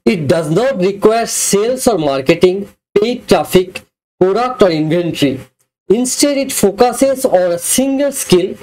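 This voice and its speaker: Scripted review narration, even delivery, middle pitch. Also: low-quality recording. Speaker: affiliate product reviewer.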